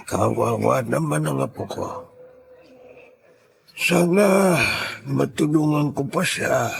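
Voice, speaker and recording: A man playing a kindly, protective old grandfather in a radio drama, with a husky, gravelly voice and an earnest, deliberate manner. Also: medium pitch, slight background noise, exaggerated voice. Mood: worried, musing.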